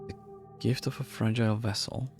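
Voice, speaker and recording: A man's voice: on the low side, fairly even intonation, normal speed, music in the background. A man who is a native German speaker, reading game text and choices aloud on a livestream. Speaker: game streamer.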